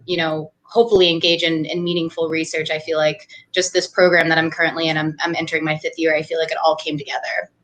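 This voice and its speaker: Bright-voiced young woman, chatty guest. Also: on the low side. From New Hampshire, USA. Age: young adult. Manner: chatty, laughs often, many fillers. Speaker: guest, doctoral student in counseling psychology. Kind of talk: casual interview conversation.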